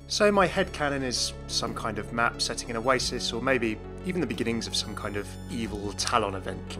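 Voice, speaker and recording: A man giving chatty, upbeat video game news commentary, speculating aloud as he presents. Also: medium pitch.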